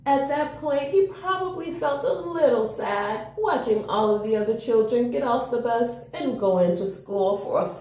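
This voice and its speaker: A woman reading a children's story aloud with enthusiastic, expressive delivery and chatty asides to her young listeners. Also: on the high side, slight background noise. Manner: expressive, enthusiastic, chuckles often. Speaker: storytime reader. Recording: roomy, echoing room.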